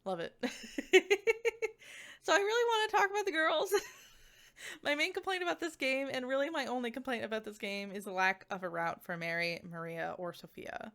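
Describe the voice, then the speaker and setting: A woman's voice: fairly high pitch, normal speed, fairly bright tone. A woman giving a casual, chatty game review in an enthusiastic, informal and giggly manner. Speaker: video game reviewer and streamer.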